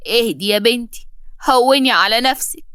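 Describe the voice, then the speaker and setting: A woman voicing a kindly older nanny who speaks in a gentle, lamenting way, soothing and devoted. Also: a little high, a little fast, clear, resonant tone, no room sound, studio quality, lightly acted.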